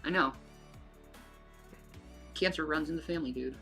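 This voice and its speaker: A woman in her thirties talking casually on a livestream, rambling and swearing, often trailing off into quiet mumbling. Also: sits low, moderate pace, soft background music. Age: 30s. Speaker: livestream subject.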